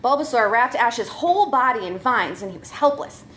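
High-pitched, animated woman reading comic stories. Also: moderate pace. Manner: giggly, breaking into laughter as she reads. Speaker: comedy troupe member reading a story. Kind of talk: comic story reading to an audience.

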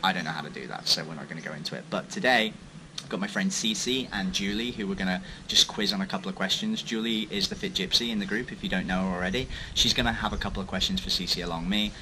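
A man's voice: deep voice, fast, rough recording. A young man hosting a casual interview at an outdoor cafe, chatty and informal. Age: young adult. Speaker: host. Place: outdoor cafe terrace in Thailand. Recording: loud street noise behind. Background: traffic and street ambience.

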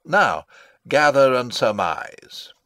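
Pompous old royal advisor, shakespeare style, slow n rambling, super swoopy pitch. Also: male voice, big pitch swings, a bit bright, no echo, clean studio sound. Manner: rambling, self-satisfied, theatrical. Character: pompous, long-winded old male royal counsellor and father. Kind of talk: classical Shakespearean stage acting, dramatic reading.

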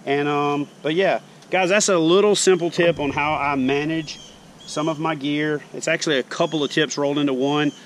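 A man in his forties, a fishing show host, casually demonstrating tackle tips in a backyard, speaking in a relaxed, folksy way. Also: on the high side, moderate pace, slight background noise. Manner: relaxed, folksy explaining, plain talk. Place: backyard lawn outdoors. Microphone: camera mounted close on chest.

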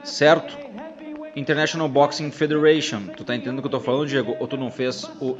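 A man's voice: medium pitch, little pitch movement, fast. A man hosting and commentating casually over old fight footage, joking with his co-host. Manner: chatty, jokes with his co-host. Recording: dull sound with loud crowd behind. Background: crowd noise from fight footage.

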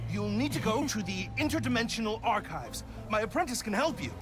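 Very high-pitched, flustered alien prophet. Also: male voice, big pitch swings, fairly quick. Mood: awed, flustered. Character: excitable, flustered alien prophet, male, in awe. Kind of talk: video game cutscene acting. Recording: music behind.

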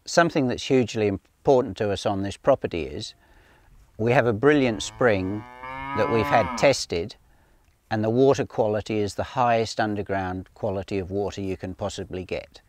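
A man's voice: lowish voice, moderate pace. An older Australian man, a farmer, talking about his farm in a plain, matter-of-fact way during an outdoor farm walk. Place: outdoors on a farm paddock by a ute.

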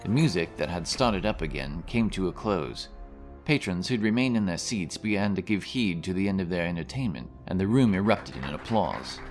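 A man with a very low voice narrates a fantasy tale in storybook fashion. Soft music plays faintly beneath his scripted reading. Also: animated, moderate pace. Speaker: audiobook narrator.

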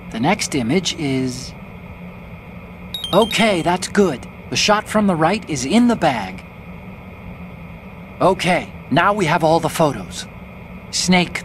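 An actor playing an earnest, nerdy young American hacker sidekick in a video game, chatty and explanatory. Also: male voice, a little high. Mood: approving. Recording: loud background noise.

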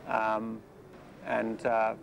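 A South African man in his forties speaks in a gravelly, fairly flat voice, weighing his words with many small pauses. He is recorded outdoors on steps in a city square, with faint street noise behind him. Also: fairly low pitch. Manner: measured, thoughtful, with many hesitations. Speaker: film director. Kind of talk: interview monologue.

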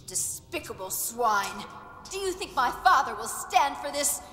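A haughty young warrior princess speaks in a high, bright, forceful voice. Her scornful game dialogue rings with long reverb. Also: sing-song, measured pace, heavily acted. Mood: outraged. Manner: haughty, formal. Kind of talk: video game cutscene acting. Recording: long reverb with music behind.

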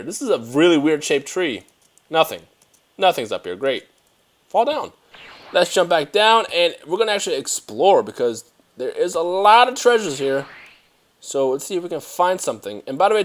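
Young guy who grew up in germany rambling through a game on a headset, gets excited fast. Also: medium pitch. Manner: excitable, rambling, talks to himself while playing. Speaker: gaming youtuber. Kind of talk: casual let's play commentary.

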